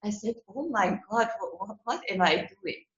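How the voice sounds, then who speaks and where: A woman from Germany with a German accent, a psychologist and coach, answering interview questions in an animated way with frequent laughs and repeated words. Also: mid-range voice, moderate pace. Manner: animated, laughs between phrases, repeats words as she restarts. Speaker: guest, psychologist and relationship coach. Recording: roomy, dull lo-fi sound.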